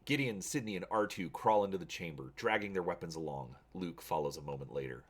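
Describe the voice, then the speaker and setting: A man's voice: low voice, lively intonation, fairly quick, breathy edge. A man hosting a channel, talking casually to viewers and reading an adventure story aloud with drama.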